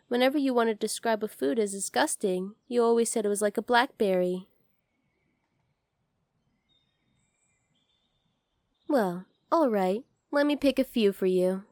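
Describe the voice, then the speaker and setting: A woman voicing a devoted, clingy kitsune fox spirit in an ASMR roleplay, speaking softly and intimately straight to the listener. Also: medium pitch, dry recording, clean studio sound, natural voice. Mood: teasing, fond. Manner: soft, tender, intimate, addressing the listener directly.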